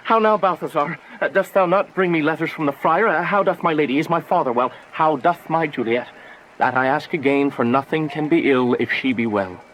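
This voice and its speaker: A man's voice: on the high side, fairly quick, fairly bright tone, close to an everyday voice, low-quality recording. An actor playing a lovesick, impulsive young nobleman in a declamatory, poetic Shakespearean radio drama. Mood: dreamy, eager. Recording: faint background noise.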